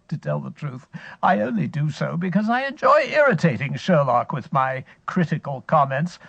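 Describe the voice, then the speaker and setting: A wry, sedentary elder brother, amused and leisurely, with a lively, rising and falling delivery, fairly high for a man. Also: gravelly, breathy edge, no room sound, muffled recording, full character voice. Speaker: series host in character. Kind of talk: scripted radio-style drama monologue.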